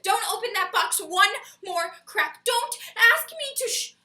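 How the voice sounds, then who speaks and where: A woman's voice: very high voice, a little slow, bright, forceful tone, pitched above the performer's own voice, full character voice. A woman performing a comic stage monologue as a proudly mean woman, melodramatically pleading against being made nice and married. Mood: frantic, pleading. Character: self-declared mean woman frantically resisting a marriage proposal. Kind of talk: theatrical comedy monologue. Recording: echoey room, far from mic.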